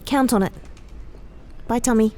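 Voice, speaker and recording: Woman as earnest young college student, mellow tone. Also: fairly high pitch, measured pace, a little hiss or hum, natural voice. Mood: earnest, resolute. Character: earnest college-age woman, remorseful but resolute. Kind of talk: audio drama acting.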